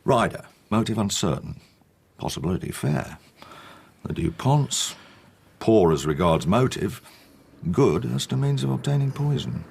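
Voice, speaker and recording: An actor playing a blunt, jocular English chief inspector in a radio drama, matter-of-fact and colloquial. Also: male voice, fairly low pitch, expressive, measured pace, vocal fry, darkish tone, breathy edge, heavily acted. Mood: businesslike.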